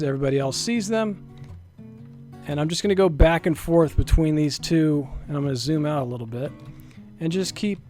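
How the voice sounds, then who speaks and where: Male developer, fairly high voice, informal and folksy screen-share walkthrough, guitar music behind him. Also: a little mellow. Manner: informal and folksy, talks viewers through each step. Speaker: software developer presenting a demo. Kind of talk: casual screen-share tech demo narration.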